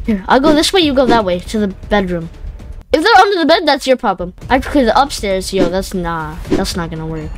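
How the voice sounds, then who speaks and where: A woman doing casual, slangy gameplay commentary, excitable and prone to exclaiming and repeating phrases. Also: medium pitch, fairly quick, faint music behind. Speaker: gaming video host.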